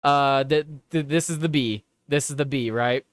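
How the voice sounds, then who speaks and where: A man's voice: mid-range voice, normal speed, no room sound, studio quality. A man hosting a live political show, reading headlines aloud and chatting casually with his viewers, swearing and repeating himself as he reacts.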